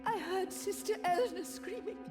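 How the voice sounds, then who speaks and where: A woman playing a terrified nun in a video game, halting and panicked, crying doom. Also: very high-pitched. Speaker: game character. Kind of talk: scripted video game dialogue acting. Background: music.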